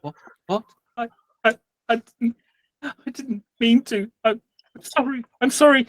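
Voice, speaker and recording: A man's voice: very high-pitched, unhurried, fairly bright tone, no echo, voice pushed up. A man voicing a frightened, remorseful curate who stammers and repeats his pleas. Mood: terrified. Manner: stammering, repetitive pleading.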